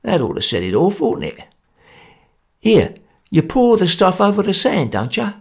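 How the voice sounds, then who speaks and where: A man voicing a complacent working-class male conspirator, blunt and loud. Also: high-pitched, wildly swooping pitch, moderate pace, creaky, breathy edge, pitched above the performer's own voice, heavily acted. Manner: blunt, loud, self-satisfied.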